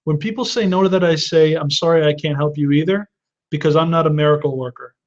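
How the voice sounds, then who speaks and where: A man's voice: a little high, quick pace, dull audio. A man in his thirties, hosting a live webinar and answering viewer questions with earnest advice, often restarting his sentences. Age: 30s. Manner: earnest advice-giving with frequent restarts and fillers.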